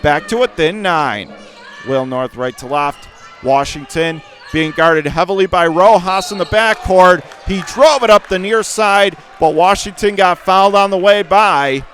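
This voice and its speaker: A man calling live radio basketball play-by-play in clipped phrases that can burst into excited calls. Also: high-pitched, a little slow, soft background music, some chatter behind. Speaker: play-by-play announcer. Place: courtside in a high school gym during a game.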